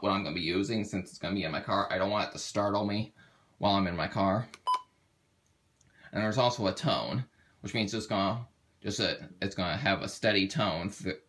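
A man giving a casual, halting how-to walkthrough, thinking aloud as he demonstrates a product. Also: low-pitched, pushed and bright. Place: indoors at a table. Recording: very noisy home video, clicks and beeps.

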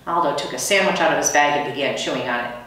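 A woman's voice: low voice, fast talker, a bit bright. A middle-aged woman, a teacher, reading a children's story aloud in a small school room, picked up by a camera a few metres away. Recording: echoey room, distant microphone.

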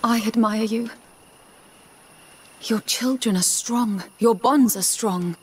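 An actress playing a fanatical noblewoman in a video game, speaking in a solemn, reverent, measured way. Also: fairly high pitch, breathy edge. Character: serene, fanatical noblewoman, zealous would-be mother. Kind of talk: video game cutscene acting. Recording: loud music behind the voice.

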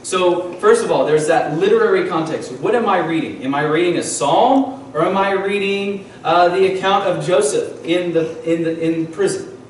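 A man's voice: sits high, moderate pace, slight background noise. A man in his thirties, a pastor preaching a teaching sermon from a pulpit and asking the congregation questions. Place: church sanctuary, at a wooden pulpit. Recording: echoey church hall from far off.

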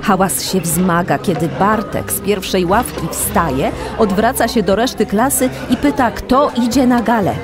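A woman acting as the narrator of a children's radio play. She reads scripted narration at a middling pitch, with music clearly heard behind her. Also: measured pace, few pauses, lightly acted. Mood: storytelling. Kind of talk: scripted audio drama narration.

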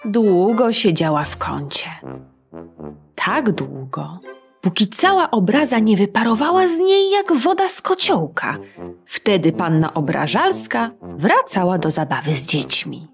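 Brief: woman narrating a kids' fairy tale, leisurely and very melodic, soft music under the voice. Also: mid-range voice, a little slow, gravelly. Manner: expressive, sing-song storytelling. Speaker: storyteller. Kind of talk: children's audiobook narration.

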